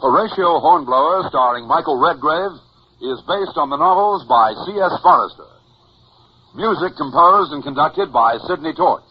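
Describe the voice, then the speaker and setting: A man's voice: a little high, normal speed, breathy edge. A man announcing credits in a formal 1950s radio style. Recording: dull, noisy 1950s radio recording.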